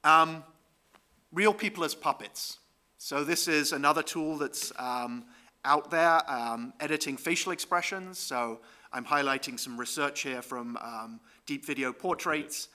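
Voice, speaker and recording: A man's voice: fairly high pitch, a little slow, bright, forceful tone. A man giving a conference talk over slides, explaining step by step with frequent ums and "right?" checks. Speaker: conference presenter.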